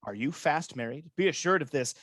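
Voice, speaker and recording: A man playing a scheming soldier ensign in an amateur Shakespeare radio play, speaking verse in a measured theatrical way. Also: on the high side, animated, fairly bright tone, no echo, clean studio sound. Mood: confiding. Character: scheming soldier ensign, slyly loyal to his general. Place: home, recorded over a video call.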